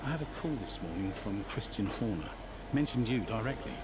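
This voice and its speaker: Racing team boss played by a man, suave and commanding, animated slightly high voice, game drama, loud engine ambience behind. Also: brisk, heavy background noise, natural voice. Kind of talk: video game cutscene acting.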